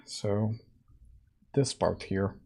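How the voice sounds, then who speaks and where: A man with a hoarse, husky voice, worn from days of coughing, chats slowly and casually as he works through code. He sits close to the mic late at night and fills pauses with ums as he thinks aloud. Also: darkish tone. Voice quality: husky, deeper than usual. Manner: slow, thinking aloud with fillers. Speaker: game dev livestream host. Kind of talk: casual coding livestream chat. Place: home room, at night. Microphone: close mic right in front.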